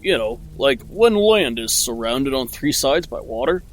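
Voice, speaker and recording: A man's voice: mid-range voice, big pitch swings, unhurried. An actor playing a bumbling, self-pitying teenage male cult leader in a comedic horror audio drama, clueless and petulant, talking in casual bro slang. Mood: clueless enthusiasm.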